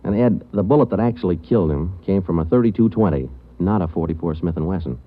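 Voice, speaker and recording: An actor playing a clipped, matter-of-fact police sergeant who narrates the case in a 1940s radio drama. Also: male voice, sits low, expressive, fast talker, narrow, tinny audio. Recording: old radio broadcast, band-limited.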